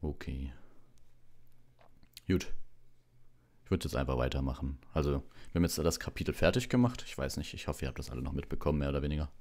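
Male livestream story reader, extremely low voice, relaxed and casual. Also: fast, pauses often. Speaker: audiobook reader, livestream host.

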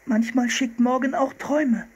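A man voicing an excitable, curious young girl in a children's storybook. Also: very high voice, moderate pace, breathy edge, pitched above the performer's own voice. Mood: matter-of-fact.

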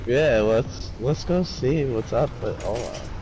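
A man's voice: mid-range voice, takes it slowly, a loud crowd, close to an everyday voice, poor audio. A man playing an awkward, laid-back cousin who talks hesitantly in slang in a game roleplay. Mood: easygoing.

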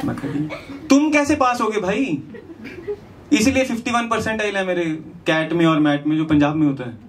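A man's voice: high voice, lively intonation, normal speed. Male comic, distant noisy sound. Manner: conversational asides between recited rhyming verses. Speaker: stand-up comedian. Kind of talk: lyrical stand-up comedy, rhymed storytelling. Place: small indoor comedy venue on stage. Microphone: handheld microphone.

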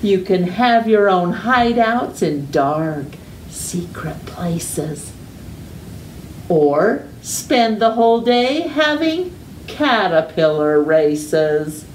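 A middle-aged woman reading a children's picture book aloud with deliberate, exaggerated storytime delivery and drawn-out words. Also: fairly low pitch, expressive, darkish tone. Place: living room at home. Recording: roomy echo, distant mic, faint noise.

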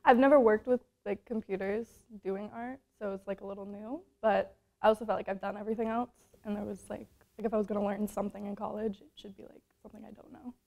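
A woman's voice: medium pitch, fairly even intonation, fast, vocal fry, hardly any pauses, a little mellow. A young woman student giving unsure, rambling answers in a documentary interview. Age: young adult. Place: studio with plain backdrop.

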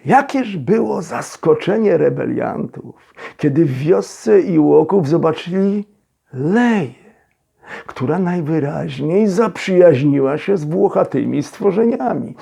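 A man reading a story as a storyteller, with expressive, theatrical phrasing and dramatic pauses. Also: a little high, vocal fry, husky. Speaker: storyteller, actor reading a children's audio story. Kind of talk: dramatic scripted storybook narration.

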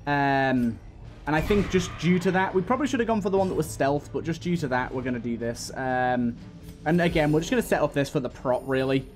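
A man with a slightly high voice narrates his video game play casually. He thinks out loud and speaks to the characters as he goes, with music playing behind him. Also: expressive, a little fast. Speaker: gaming video host. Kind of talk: casual gameplay commentary.

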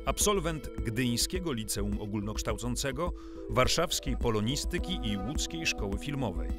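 Expressive man narrating over music. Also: mid-range voice, normal speed. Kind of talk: scripted biographical narration. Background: instrumental music.

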